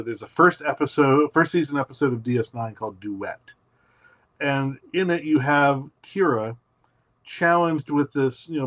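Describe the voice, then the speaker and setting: A man who is a guest on a podcast, talking about his writing and retelling stories in detail, often saying "you know". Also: mid-range voice. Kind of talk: casual interview talk. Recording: dull lo-fi audio.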